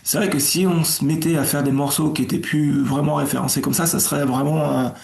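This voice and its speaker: A French man in a band, answering casually in a relaxed, rambling way. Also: fairly high pitch, a little fast, gravelly. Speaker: band member. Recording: roomy echo.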